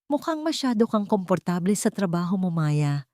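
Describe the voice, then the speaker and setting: A woman's voice: lowish voice, sing-song, normal speed, mellow, no echo, studio quality. A woman voicing a cold, condescending rich fiancée who talks in sugary, manipulative phrases, mixing English and Tagalog, in an audio drama. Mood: cold, possessive.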